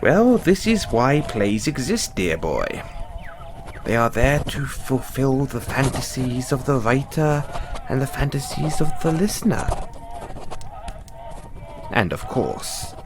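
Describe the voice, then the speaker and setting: A man voicing a refined male playwright in a one-sided audio roleplay, speaking in a theatrical, drawn-out way and dropping low on each "dear boy". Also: sing-song, over music. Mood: affectionate.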